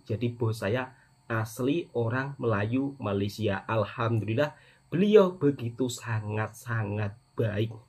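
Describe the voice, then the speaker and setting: A middle-aged man with an Indonesian accent speaks in a husky voice of ordinary male pitch. He delivers a casual personal monologue as a vlogger, addressing his viewers directly and doubling up words for emphasis. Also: a little mellow. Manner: repetitive emphasis, often addressing his audience as friends. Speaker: vlogger, channel host, migrant worker.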